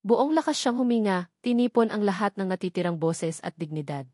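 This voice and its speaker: A woman's voice: medium pitch, lively intonation, brisk, warm tone, dry recording. Dramatic woman audiobook narrator, dry sound. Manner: dramatic, emphatic phrasing. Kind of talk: scripted dramatic story narration.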